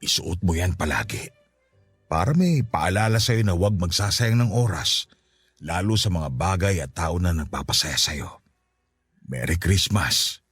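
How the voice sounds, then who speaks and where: A man's voice: low voice, animated, a little slow, a bit bright, husky, dry recording, heavily acted. A man playing an affectionate, playful, ailing father in a radio drama, teasing and tender by turns. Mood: tender, sentimental. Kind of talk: Tagalog radio drama acting. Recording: music behind the voice.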